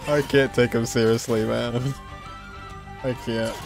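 A man's voice: mid-range voice, flat delivery, slow, creaky. A man, giving informal, amused reaction commentary as a streamer. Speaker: streamer reacting to game videos. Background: faint music.

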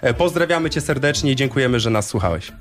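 A man's voice: medium pitch, animated, fast talker. A man chatting casually as the host of a live radio show. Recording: radio broadcast over background music. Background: music.

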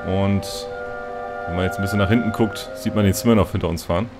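A man's voice: sits low, a little fast, over music. A man hosting a let's play, chatting casually while he plays and often dropping a filler "so". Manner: relaxed, chatty, lots of "so" fillers. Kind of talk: casual gameplay commentary.

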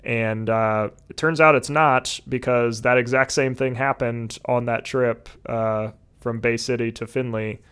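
A young man in his twenties chatting casually on a podcast video call, relaxed, with lots of fillers. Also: medium pitch, a little slow, hardly any pauses, clear, resonant tone. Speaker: podcast guest. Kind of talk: casual chat. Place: home room, indoors. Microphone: headset with video call.

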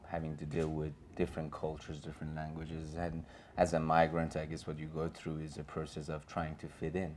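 A man's voice: rumbling low, monotone, a little fast, few pauses, a little mellow, slight background noise. A man in his thirties with a Persian accent, speaking on a gallery panel in an earnest, rambling way full of fillers and restarts. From Iran. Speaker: panelist and filmmaker. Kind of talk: panel discussion. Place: small gallery room with an audience.

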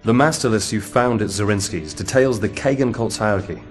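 An actor playing a serious secret agent, a loyal handler briefing in a matter-of-fact way. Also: male voice, lowish voice, fairly quick, close to an everyday voice. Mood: businesslike. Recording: music clearly behind the voice.

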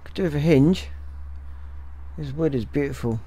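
A man's voice: a little high, moderate pace, warm tone. A man giving casual commentary as a repair technician, musing aloud while he works at the bench. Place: indoor electronics workshop.

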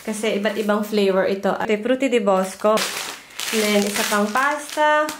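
A woman's voice: medium pitch, normal speed, background noise. A Filipino-accented woman narrating a haul vlog in a casual, chatty way, listing items one by one. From Philippines. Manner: chatty, itemizing. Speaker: vlogger. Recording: loud background music. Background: music.

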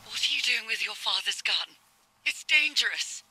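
A woman's voice: on the high side, lively intonation, moderate pace, pushed and bright, breathy edge, heavily acted, poor audio. A woman playing a frightened middle-aged mother, anxious and imploring, in a dramatic video game. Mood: anxious, explaining.

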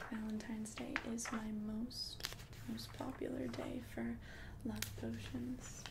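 A woman plays a kindly witch minding her magic shop in an ASMR roleplay. The voice is hushed, lightly high and very unhurried, soothing and helpful, with clear noise in the background. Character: gentle, helpful witch shopkeeper selling love potions. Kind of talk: soft-spoken ASMR roleplay.

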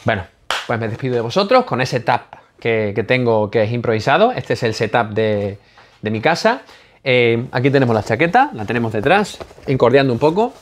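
A man in his forties with a Spanish accent vlogs from a small home office. He talks animatedly to his viewers, repeats phrases and keeps checking that they follow. He speaks at a middle pitch into a lapel mic. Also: big pitch swings, moderate pace. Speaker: spearfishing channel host. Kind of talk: casual vlog talk to camera.

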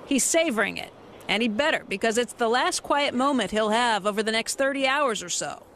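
A woman's voice: a little high, lively intonation, brisk, a bit bright. Polished woman narrating over music. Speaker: TV business reporter narrating. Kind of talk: scripted news documentary narration. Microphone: studio voice-over. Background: music underneath.

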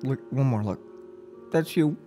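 A young man in his twenties playing a gloating, unhinged serial killer in an ASMR role-play, speaking close to the mic in a halting, mock-polite, menacing way. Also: medium pitch, warm tone, faint music behind, pitched above the performer's own voice, lightly acted. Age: 20s. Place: small furnished room at home. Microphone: close microphone on a boom arm.

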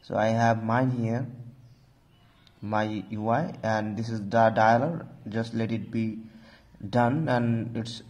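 Man, medium pitch, tech tutorial host, informal step-by-step narration, recurring stock phrases. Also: fairly even intonation, slow pace, a little mellow.

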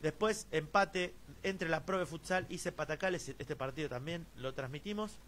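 A fairly high-voiced Argentine man runs through local futsal results, reading long lists of goal scorers. He talks with many fillers and restarts, and a faint hiss sits under the voice. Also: moderate pace, fairly bright tone. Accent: Argentine Spanish. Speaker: host of a local futsal news stream. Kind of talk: sports news roundup, reading results.

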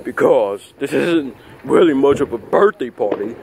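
A man improvising a plush toy skit outdoors, talking in a rambling, repetitive, halting way into a handheld phone. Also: mid-range voice, animated, creaky. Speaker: puppeteer of plush toys. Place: outdoors on grass at night. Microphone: handheld phone camera close by. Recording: noisy outdoor phone video recording.

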